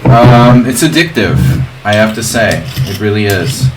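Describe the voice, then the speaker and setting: A man's voice: on the low side, a little slow, over loud music. A man hosting a livestream in casual, rambling chat, drifting off on jokey tangents. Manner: digressive, jokey. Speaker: livestream host, chess streamer. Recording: clear background noise.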